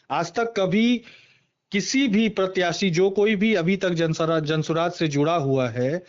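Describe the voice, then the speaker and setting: High-pitched man on a dull phone call. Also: clear, resonant tone. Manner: emphatic, repeating words of agreement. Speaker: political party member and former election candidate, interviewee. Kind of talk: phone interview, political commentary. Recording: dull, band-limited phone call recording.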